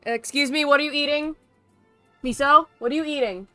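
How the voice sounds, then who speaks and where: A woman's voice: high-pitched, fairly even intonation, brisk, bright, forceful tone, no room sound. Casual, playful female game streamer. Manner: informal, rambling, playful teasing, swears. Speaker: livestreamer. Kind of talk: casual gaming stream chat. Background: music in the background.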